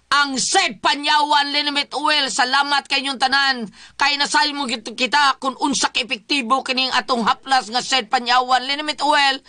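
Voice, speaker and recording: A woman's voice: high-pitched, sharp tone, no echo, studio quality. A woman with a Cebuano accent hosting radio, chatting through greetings and product plugs. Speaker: radio host.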